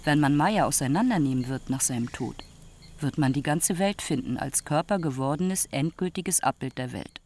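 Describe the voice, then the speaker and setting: A performer playing a grave, reflective narrator in a literary radio drama, speaking in measured, short statements. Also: mid-range voice, expressive, a little mellow, lightly acted. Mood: solemn. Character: grave, reflective narrator recounting a dying friend.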